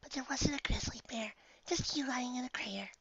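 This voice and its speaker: A man voicing a timid, tender young female pegasus pony in a soft, breathy, hesitant falsetto. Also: fairly quick, no echo, lo-fi sound, heavily acted. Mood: soothing, gentle. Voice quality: breathy, near-whispering falsetto.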